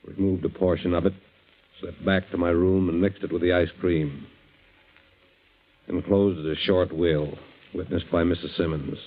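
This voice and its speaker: An actor playing a grave doctor solemnly reading a letter aloud in a 1940s radio drama. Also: male voice, low-pitched, little pitch movement, normal speed, pauses often. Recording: dull 1940s radio recording.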